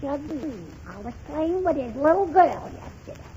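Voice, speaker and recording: A woman's voice: high voice, animated, moderate pace, warm tone. A woman playing a mischievous, naive little girl who pesters with questions in a 1930s radio comedy. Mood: naive, curious. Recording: muffled, hissy 1930s radio recording.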